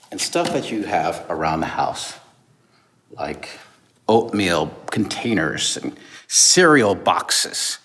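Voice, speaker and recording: A man hosting a storytime, presenting to children and families in an expressive, emphatic, enthusiastic way. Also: mid-range voice. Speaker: storytime host. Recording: roomy echo, clear background noise.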